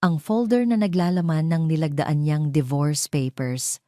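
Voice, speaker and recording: A woman narrating a scripted romance drama as a storyteller, in a measured, solemn manner. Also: low voice, steady tone, mellow, dry recording, clean studio sound.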